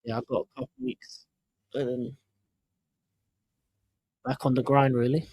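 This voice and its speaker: A man with a mid-range voice chats on a football stream in a dry, close recording. He mostly gives short replies agreeing with the host. His tone is relaxed and casual. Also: mid-range voice, measured pace, vocal fry, husky, dry recording. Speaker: stream guest. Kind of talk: casual chat.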